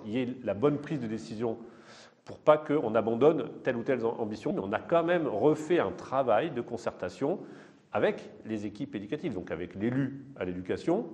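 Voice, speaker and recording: A man's voice: medium pitch, darkish tone. A man giving explanatory interview testimony as a project consultant, with technical, methodical wording.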